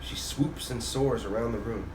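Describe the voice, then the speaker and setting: A man's voice: mid-range voice, moderate pace. Man reading a children's story, echoey and distant. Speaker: storytelling dad, host of story time. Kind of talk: animated read-aloud of a children's picture book. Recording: echoey room, distant mic, noisy background.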